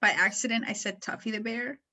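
A woman's voice: on the high side, steady tone, fairly quick, sharp tone. A woman talking casually and candidly with friends, often poking fun at herself. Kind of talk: casual group chat.

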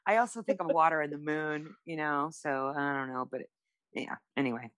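A woman banters casually on a podcast. She is playful and irreverent, quick to poke fun at herself. The sound is very dry, with little room to it. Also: deep voice, a bit bright, dry recording. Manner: playful, irreverent, self-deprecating. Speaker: podcast co-host.

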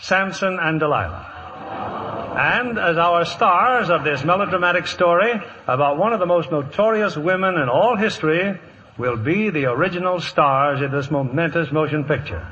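A man's voice: a little high, lively intonation, moderate pace, pushed and bright, slight background noise. A man hosting a 1950s radio theater program with scripted stage banter. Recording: dull, vintage 1950s radio broadcast.

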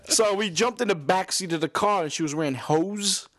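A man's voice: high-pitched, moderate pace, fairly bright tone. A young man with a New York accent, rambling through frank stories in a casual chat and steering the talk.

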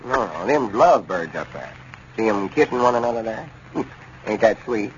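An actor playing a proud, touchy older country storekeeper with a folksy rural Arkansas drawl in a 1930s radio comedy. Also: male voice, on the low side, very animated, moderate pace, gravelly. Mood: proud, hopeful. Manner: folksy, self-important drawl. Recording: muffled, noisy 1930s radio recording.

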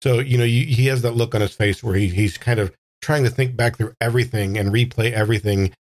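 A man playing a tabletop roleplaying game, improvising dialogue and narration in a halting, thoughtful way. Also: mid-range voice, steady tone, no echo, clean studio sound. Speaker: player in a tabletop roleplaying audio drama. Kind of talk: improvised in-character talk and casual narration.

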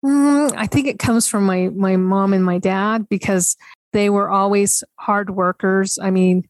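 A woman's voice: medium pitch, fairly even intonation, measured pace, dry recording. A woman author and speaker, a guest on a podcast, talking candidly and thoughtfully about her family and her own rules. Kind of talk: reflective conversation.

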